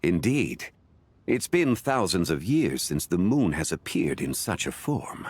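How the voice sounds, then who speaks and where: An actor playing a calm, refined, scholarly gentleman in a video game, speaking in a formal, measured and courteous way. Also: low-pitched, slightly rough, full character voice. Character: calm, refined, scholarly gentleman consultant with old-world poise.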